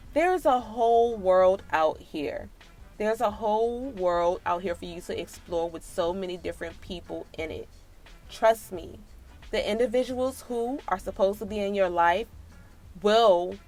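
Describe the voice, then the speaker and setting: A woman's voice: medium pitch, a little mellow. An American woman telling a personal story and giving advice in a casual, slangy, emphatic way, speaking straight to her listeners. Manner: emphatic, slangy, sisterly direct address to listeners. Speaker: storytelling vlogger.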